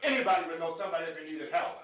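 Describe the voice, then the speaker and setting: A man's voice: a little high, expressive, racing, a bit bright. An actor playing a practical, resolute man holding out in a 1960s horror film, firm and challenging. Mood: indignant. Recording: echoey, distant old film soundtrack.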